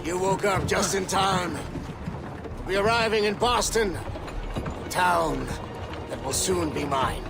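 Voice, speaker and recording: An actor playing an arrogant, gloating military commander in a video game, with a gravelly, theatrical and mocking delivery. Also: male voice, sits high, a little slow, pauses often, over music. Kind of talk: cinematic video game acting.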